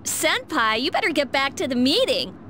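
High-pitched wary schoolgirl. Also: sing-song, moderate pace, sharp tone, a little hiss or hum, full character voice. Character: wary, outspoken teenage schoolgirl. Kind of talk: anime video game dub acting.

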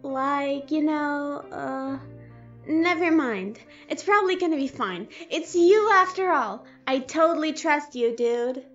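A woman's voice: sits high, takes it slowly, soft background music. A woman playing a casual, unsure young monster kid in a fan audio drama, slangy and hesitant, on a dull lo-fi mic. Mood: worried. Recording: dull lo-fi microphone.

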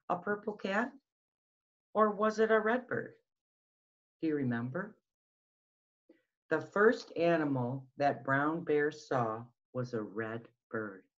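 A middle-aged woman reading a picture book aloud to young children, sing-song and full of questions, on a webcam microphone at home. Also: sits low. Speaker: school behavior specialist reading to young children. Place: small room at home, indoors. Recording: dull lo-fi webcam sound.

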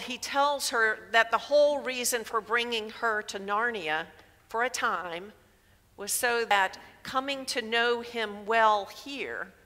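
A woman in her sixties preaching a sermon in a church sanctuary through a headset mic, measured and emphatic. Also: on the high side, pushed and bright. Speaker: pastor. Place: large church sanctuary, indoors. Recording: long reverb of a church hall.

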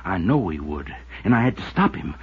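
A desperate ranch foreman with a gun in a 1950s drama. His voice is very husky and swings widely in pitch as he insists and justifies himself. The recording is dull and old. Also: big pitch swings, brisk, exaggerated voice. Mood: frantic, pleading. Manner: frantic, self-justifying, insistent repetition. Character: desperate guilt-ridden ranch foreman turned cornered killer. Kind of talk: 1950s radio drama acting.

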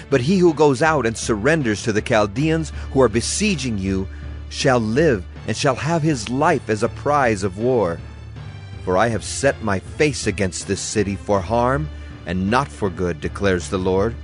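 An actor playing a stern prophet pronouncing divine judgment, solemn and declamatory, in a dramatized scripture reading. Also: male voice, fairly low pitch, animated, a little slow, soft background music. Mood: stern warning. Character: stern male Hebrew prophet pronouncing God's wrathful judgment.

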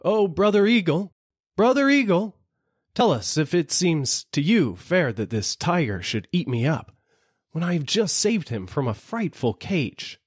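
A man voicing a gentle, kind holy man who pleads desperately for his life in a storybook reading. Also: fairly high pitch, sing-song, measured pace, husky, no echo, clean studio sound, voice pushed up, full character voice. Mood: pleading. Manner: pleading, imploring.

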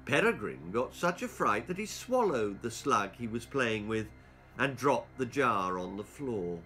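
A man in his sixties narrating a children's story in an expressive, deliberate, theatrical way. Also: mid-range voice, over music. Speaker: author reading his own stories. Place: small book-lined room indoors.

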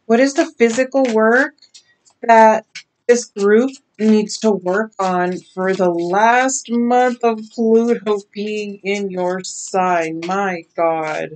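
Slow-speaking raspy woman reading tarot. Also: medium pitch, steady tone, a little mellow, low-quality recording. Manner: slow, emphatic, drawn-out warnings. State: raspy from lack of sleep. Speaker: tarot reader. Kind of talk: slow intuitive card reading to camera. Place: small decorated bedroom indoors.

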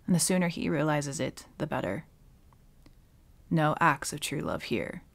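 A woman playing a centuries-old villainess witch in an ASMR audio roleplay, wry and bitter with a reluctant tenderness, often slipping toward a breathy whisper. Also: sits low, expressive, dry recording, full character voice. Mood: dry, sardonic. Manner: slow, sardonic, dropping often into breathy whispers. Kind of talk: ASMR dramatic roleplay monologue.

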